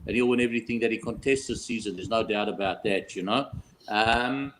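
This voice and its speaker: A man's voice: fairly low pitch, a little fast, fairly bright tone, slight background noise, rough recording. A South African man chatting casually as a betting guest, bantering and often ending on tag questions. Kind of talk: casual chat.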